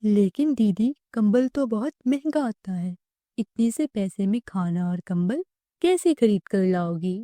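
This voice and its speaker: A woman's voice: mid-range voice, lively intonation, unhurried, warm tone, dry recording, studio quality, close to an everyday voice. A woman voicing a caring, earnest little brother in a cartoon moral story, childlike and pleading. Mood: earnest, questioning.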